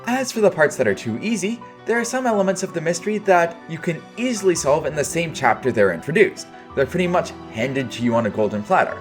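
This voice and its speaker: A man's voice: on the high side, very animated, fast, hardly any pauses, soft background music. A man delivering a scripted video review in casual, opinionated phrasing with dry understatement.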